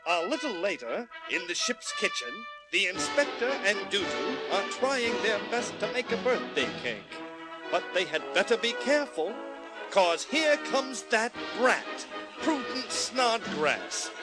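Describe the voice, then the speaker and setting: An actor playing the playful narrator of a children's cartoon radio drama, with animated, drawn-out delivery. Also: male voice, sits high, rough recording. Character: playful storybook-record narrator, formal party announcer. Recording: music behind vinyl record audio.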